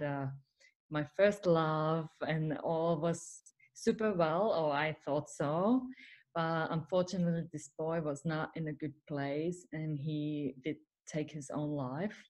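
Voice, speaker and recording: Finnish-accented woman, low voice, unhurried, earnest interview guest. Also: darkish tone. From Finland. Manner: earnest, laughs often, non-native phrasing. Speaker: guest, spiritual mentor. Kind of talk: casual podcast interview.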